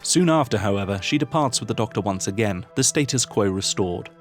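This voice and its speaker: A man narrating a scripted video essay in a measured, formal, articulate manner. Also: on the low side, expressive, soft background music.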